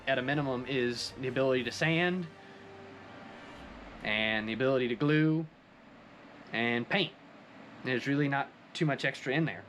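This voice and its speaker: A man's voice: mid-range voice, a bit bright. A man giving a casual, enthusiastic recap of a craft project as a hobbyist video presenter.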